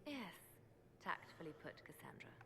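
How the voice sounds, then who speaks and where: An actress playing a courteous diplomat in a fantasy game, with a polite, measured and articulate delivery. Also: female voice, fairly low pitch, sing-song, big-room sound, crowd noise. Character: poised, courteous fantasy diplomat and ambassador woman. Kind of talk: dramatic fantasy game dialogue.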